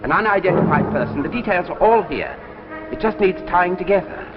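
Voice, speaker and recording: An actor playing a smooth, scheming middle-aged writer, coaxing and dismissive, in old radio drama style. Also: male voice, sits high, expressive, brisk, music in the background. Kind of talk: 1950s radio drama acting. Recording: echoey, noisy, dull old radio recording.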